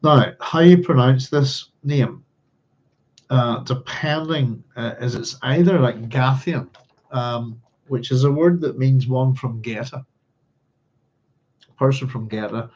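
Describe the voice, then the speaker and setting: A man's voice: mid-range voice, takes it slowly, darkish tone, highs cut off, a little hiss or hum. A man with a Northern Irish accent hosting an informal book club, talking through a novel in a rambling, scattered way and often thinking aloud. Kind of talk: informal read-along commentary on a novel.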